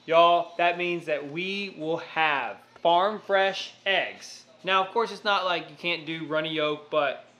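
A man's voice: on the high side, takes it slowly, few pauses, bright, forceful tone. A man in his thirties, a homestead vlogger, chatting casually and joking while he works in his home kitchen. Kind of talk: casual chat.

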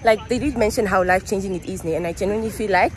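A woman's voice: medium pitch, racing, rough recording. A young woman from Namibia talking casually to her viewers in a travel vlog, chatty and full of fillers. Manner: chatty, filler-heavy, addresses viewers directly. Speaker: vlogger.

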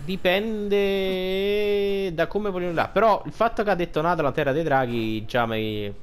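A man's voice: high voice, moderate pace. Rambling man over background noise. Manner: rambling, thinking aloud, slangy. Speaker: gaming video host. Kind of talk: casual unscripted gameplay commentary.

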